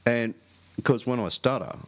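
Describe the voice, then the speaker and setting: A man's voice: mid-range voice, expressive, a little slow. A middle-aged man who stutters, telling a story in casual chat as a radio show co-host. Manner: stutters, with repeated restarts.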